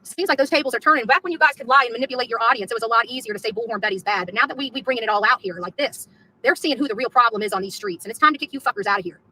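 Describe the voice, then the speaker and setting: Combative lady ranting live, high sharp voice, taunts and swears, says stuff twice. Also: very fast, breathy edge, rough recording. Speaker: activist streamer in a played clip. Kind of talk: confrontational live rant.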